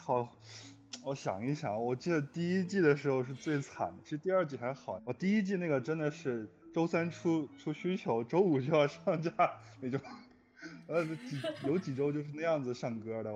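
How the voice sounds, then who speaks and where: A man's voice: a little high, a little fast. A man chatting casually as a guest, complaining playfully as he tells stories. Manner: playfully complaining, animated storyteller. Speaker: guest, music arranger and composer of score. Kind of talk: casual chat. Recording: muffled lo-fi sound, music behind. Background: background music.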